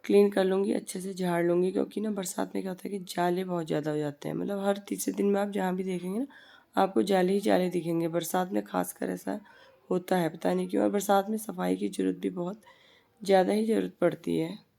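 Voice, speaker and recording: A woman in her thirties with a hoarse, heavy voice from a sore throat, chatting and rambling through a casual voice-over for her family vlog. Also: mid-range voice, steady tone, fairly quick, mellow. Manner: chatty, rambling, repeats herself. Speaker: family vlogger. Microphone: voice-over recorded separately from the footage.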